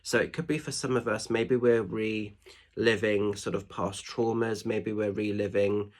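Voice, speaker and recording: A man, a spiritual medium, giving a casual oracle card reading in a nasal, stuffed-up voice, chatty and gently reassuring. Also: on the low side, fairly even intonation, unhurried. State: congested with hay fever or a cold. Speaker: spiritual medium and tarot reader.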